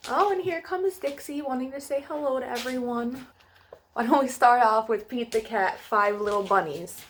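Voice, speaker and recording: A woman's voice: fairly high pitch, moderate pace, fairly bright tone, slight background noise. A woman hosting a children's storytime, reading aloud and addressing young listeners in a sing-song way.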